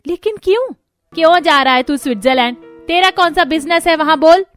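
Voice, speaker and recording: A woman acts out a rich, domineering mother-in-law from a cartoon family drama. Her voice is high and harsh, and she scolds and orders people about with a sharp tongue. Music plays behind her. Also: lively intonation, fairly quick. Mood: angry, disbelieving.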